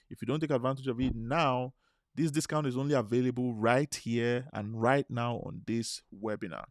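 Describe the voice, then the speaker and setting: A man from Nigeria, hosting a live webinar and giving an urgent, persuasive sales pitch that repeats its phrases. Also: a little mellow, no room sound, clean studio sound. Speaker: webinar host.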